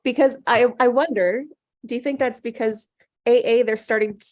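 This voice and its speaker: A woman chatting casually in a group, tentative and thinking out loud as she goes. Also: on the high side, fairly even intonation, moderate pace. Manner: tentative, musing aloud.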